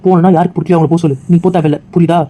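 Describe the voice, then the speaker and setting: A man's voice: on the high side, level tone, very fast, dark tone, natural voice. An actor playing a loyal, hot-headed young male friend who fires off incredulous, indignant questions. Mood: insistent. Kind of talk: emotional drama acting.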